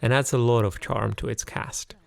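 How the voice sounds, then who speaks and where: A man's voice: mid-range voice, steady tone, moderate pace, vocal fry, no room sound. A man narrating a scripted game review as its host.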